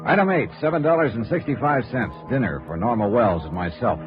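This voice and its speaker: An actor playing a tough, wry insurance investigator in 1950s radio noir, clipped and dry. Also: male voice, medium pitch, animated, a little fast, close to an everyday voice. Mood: brooding narration. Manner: clipped, hard-boiled, dry. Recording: dull vintage radio recording, faint music.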